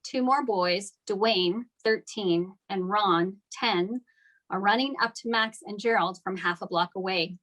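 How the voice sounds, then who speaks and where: A woman's voice: medium pitch, measured pace, a bit bright, rough recording. A woman narrating scripted stage directions at a table read of a screenplay.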